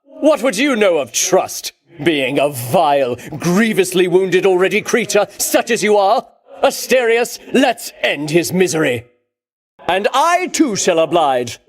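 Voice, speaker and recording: A man's voice: sits high, sing-song, measured pace. An actor voicing a pompous, boastful dead Greek hero-king for a video game, with ringing, declamatory delivery and grandiose archaic diction. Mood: scornful, grandiose. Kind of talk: theatrical video game voice acting.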